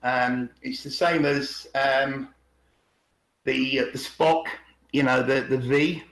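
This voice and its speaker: A man's voice: mid-range voice, steady tone, takes it slowly, a bit bright. A man hosting a rambling conspiracy talk, reading bits aloud and repeating words as he halts and checks for agreement. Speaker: podcast host. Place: online video call. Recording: roomy, echoey video call.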